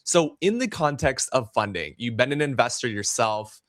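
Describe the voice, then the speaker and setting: A man's voice: mid-range voice, animated, normal speed, a bit bright, no echo, studio quality. A man hosting a live-streamed business interview show, enthusiastic and effusive as he welcomes his guest. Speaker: podcast host.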